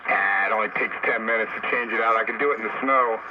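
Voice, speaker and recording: A man's voice: lowish voice, steady tone, quick pace, dull audio. A man chatting casually and bluntly over two-way radio as an amateur operator, with band-limited, noisy sound. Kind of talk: casual on-air radio chat.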